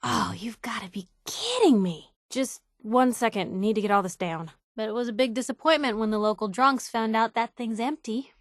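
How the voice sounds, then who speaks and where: An actress voicing a plucky young woman reporter in a video game, wry, colloquial and expressive, with a conscience behind the wisecracks. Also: on the high side, normal speed, hardly any pauses, no echo, clean studio sound, close to an everyday voice. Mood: curious, bantering. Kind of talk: video game companion voice lines.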